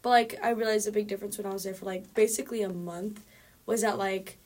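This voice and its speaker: A woman's voice: mid-range voice, steady tone, fast, darkish tone. A teenage girl whose first language is Spanish, co-hosting a casual chat and rambling with many fillers. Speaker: co-host.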